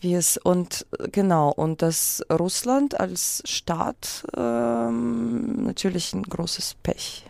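A woman's voice: on the low side, slow, creaky, darkish tone, dry recording. A woman from Ukraine, a native speaker of Russian and Ukrainian, talking casually and reflectively as an interview guest, often searching for words and breaking off mid-thought. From Kyiv, Ukraine.

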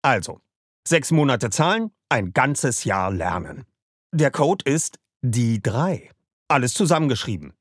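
A man reads a promotional spot in a bright, salesy manner. His pitch leaps around animatedly. The sound is dry and close. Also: a little slow, husky, no room sound. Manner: lively, salesy. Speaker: ad reader. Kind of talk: upbeat scripted ad read.

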